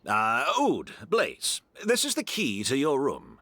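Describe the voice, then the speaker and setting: Anime game part: considerate, flustered gentleman innkeeper, bright tone, lively intonation, dry close sound. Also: medium pitch, slow, no room sound, heavily acted. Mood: helpful, concerned. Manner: courteous, flustered, apologetic. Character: apologetic, considerate male perfumer and hotel keeper.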